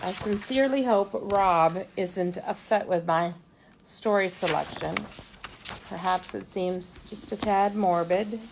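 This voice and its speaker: A woman reading a children's storybook aloud and adding casual, unhurried commentary of her own. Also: mid-range voice, background noise.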